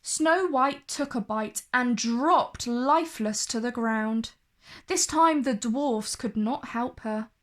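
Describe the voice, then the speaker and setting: Female children's narrator: expressive story read-aloud, unhurried and deliberate, higher register.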